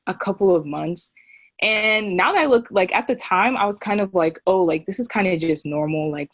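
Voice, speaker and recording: A young woman tells a heartfelt personal story as a college student on a panel. She talks in a casual, filler-heavy way. Her voice sits a little low and is recorded close and dry. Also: no room sound. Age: young adult.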